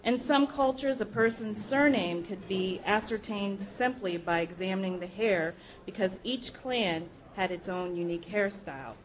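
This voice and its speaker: A woman's voice: mid-range voice, moderate pace, few pauses, a crowd in the background, low-quality recording. A woman reading book excerpts aloud to an audience at a live library program. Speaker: reader at a public library program.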